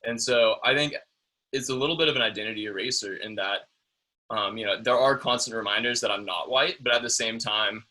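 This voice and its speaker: A young man speaking as a panelist in a town hall discussion, thoughtful and pausing often on "um" and "uh.". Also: lowish voice, pushed and bright. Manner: thoughtful, with frequent "um" and "uh".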